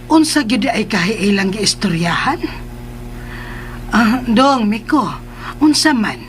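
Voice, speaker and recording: An unhurried Filipino mother, faint noise. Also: a little high, animated. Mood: concerned, curious. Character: patient, caring mother who cooks for family. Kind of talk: Filipino radio drama acting.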